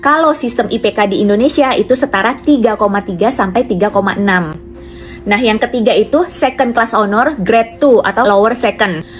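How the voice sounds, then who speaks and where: Woman, fairly high voice, calm explainer, point by point, faint background music. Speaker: commentator explaining degree systems. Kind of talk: casual explanatory monologue.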